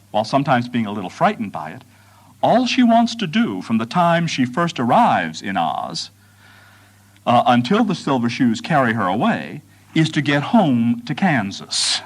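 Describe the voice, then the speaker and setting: A man gives a prepared public lecture in a medium-pitched voice. His intonation rises and falls widely as he moves through measured, deliberate phrases. He sets off key words with emphatic pauses.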